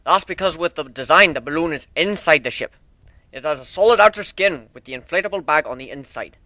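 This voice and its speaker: Here is a boastful minotaur airship captain, played by a man reading a story aloud, hearty and brash. The voice is raised and forceful, with folksy salesman patter and a bright edge. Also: a little high, expressive, fairly quick, dry recording, voice pushed up. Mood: boastful, explaining. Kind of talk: dramatic fan fiction reading.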